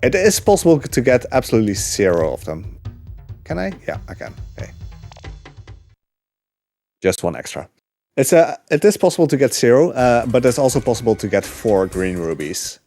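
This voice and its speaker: A man speedrunning a game live and talking through it in a relaxed, chatty way, with plenty of fillers. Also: medium pitch, normal speed, long pauses, soft background music. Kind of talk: live gameplay commentary.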